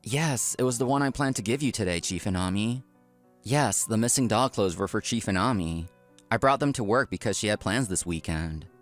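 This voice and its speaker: A man's voice: on the low side, animated, moderate pace, faint music behind, close to an everyday voice. A man playing an earnest, polite office worker who narrates his own story in a comic dub. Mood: apologetic. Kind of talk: scripted first-person narration, comic dub.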